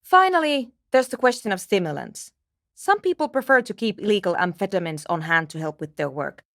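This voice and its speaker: A no-nonsense radio engineer for a video game. She is frank and precise, with formal, matter-of-fact phrasing. Her mid-pitched voice moves with lively intonation in a dry, echo-free recording. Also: brisk. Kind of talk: scripted video game character dialogue.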